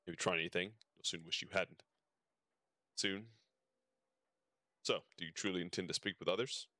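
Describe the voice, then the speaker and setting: Man reading as a deep stern suspicious guard. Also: fairly even intonation, normal speed, sharp tone, dry recording, clean studio sound. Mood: stern, warning. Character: stern suspicious imperial guard giving warnings. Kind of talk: reading game dialogue aloud.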